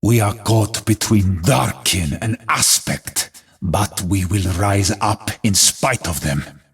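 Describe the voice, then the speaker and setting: Battle-scarred game warrior: low, very husky, solemn, defiant, heroic declamation. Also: male voice, takes it slowly, faint music behind, heavily acted. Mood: solemn, reflective. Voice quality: husky, rough-edged. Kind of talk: heroic video game voice acting.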